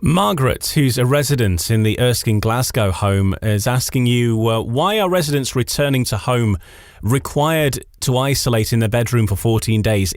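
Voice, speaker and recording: A man's voice: fairly low pitch, dry recording, studio quality. A man hosting a radio interview, reading out questions to his guest.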